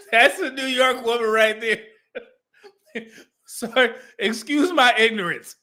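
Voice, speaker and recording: High, bright-voiced man giggling through reactions. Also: slow. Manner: animated, laughs often, repeats clip lines. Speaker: reaction streamer. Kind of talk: laughing reaction commentary.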